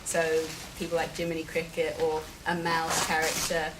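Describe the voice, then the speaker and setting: Woman author chatting, low and flat. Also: normal speed, heavy background noise. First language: Igbo. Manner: chatty, friendly, explains at length with fillers. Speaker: children's book author. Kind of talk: live event interview. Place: event venue with an audience.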